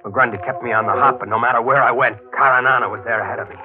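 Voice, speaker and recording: An actor playing an earnest, tense newspaper reporter, clipped and dramatic in 1940s radio style. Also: male voice, mid-range voice, steady tone, racing, close to an everyday voice. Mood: hardboiled narration. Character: earnest, tense young-sounding male newspaper reporter hero. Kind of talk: 1940s radio acting and first-person narration. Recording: tinny old radio broadcast, music behind.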